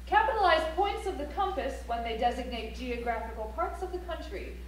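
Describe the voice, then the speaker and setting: A woman's voice: sits high, expressive, a little fast. An actress playing a prim, formal woman instructor who recites rules precisely on stage, far off in a very echoey auditorium. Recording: very echoey auditorium, distant, faint hum.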